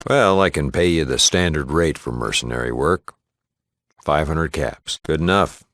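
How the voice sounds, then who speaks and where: A male actor playing a gruff, plain-spoken small-town shopkeeper and lawman in a video game, with a gravelly American voice and a folksy, matter-of-fact manner. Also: fairly bright tone, no room sound, heavily acted. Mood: brisk, businesslike. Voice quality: gravelly, low. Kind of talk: video game character dialogue.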